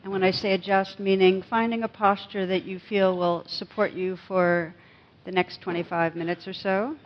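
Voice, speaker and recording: A woman leading a guided meditation as a teacher, speaking gently and unhurriedly with pauses. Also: mid-range voice, little pitch movement, muffled recording.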